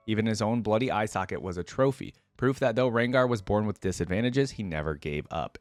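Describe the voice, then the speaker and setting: A man's voice: lowish voice, dry recording. A man hosting a reaction video, reading lore aloud and adding casual, conversational asides as he goes.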